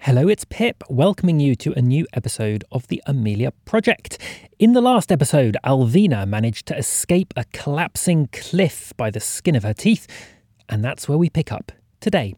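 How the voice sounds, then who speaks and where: A male podcast host reading a scripted introduction. His voice is fairly high and mellow, moving through a wide range of pitch in a close, dry recording. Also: sing-song, normal speed, no room sound.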